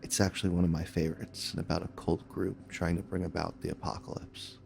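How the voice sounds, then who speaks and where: A man reads a scripted sponsor spot for a podcast. His voice is extremely low with a gravelly creak. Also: little pitch movement, quick pace, a little mellow, slight background noise. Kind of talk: scripted ad read.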